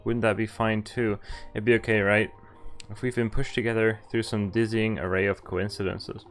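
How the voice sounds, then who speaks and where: Male streamer, slightly low register, casual read-aloud delivery with self-corrections and chat asides, soft music underneath. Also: flat delivery, normal speed. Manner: stumbles over read lines and corrects himself. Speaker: streamer reading a visual novel aloud. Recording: music playing behind the voice. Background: background music from the game.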